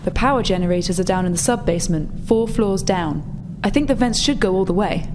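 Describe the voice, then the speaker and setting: A woman playing a brisk, practical rebel contact in a tabletop roleplay, explaining things matter-of-factly. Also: medium pitch, noisy background, natural voice. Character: female rebel contact, brisk, practical, slightly flustered insider technician.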